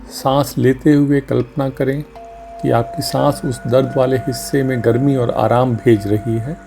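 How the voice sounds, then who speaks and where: A man leading a guided meditation as a doctor, speaking gently and calmly in short phrases with long pauses. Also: medium pitch. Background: music under the voice.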